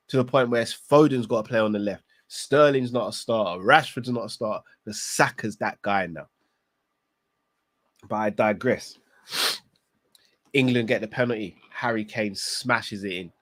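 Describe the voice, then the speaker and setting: Man, stuffy nose from a cold, opinionated, emphatic, casual football chat, middle pitch. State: congested from a cold. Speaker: football channel host. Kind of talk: casual recap monologue.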